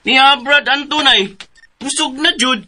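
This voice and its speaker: A man's voice: very high-pitched, unhurried, bright, forceful tone, slight background noise, exaggerated voice. An actor playing a simple, agreeable, childlike male in a radio drama, with a Cebuano accent. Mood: innocent, agreeable.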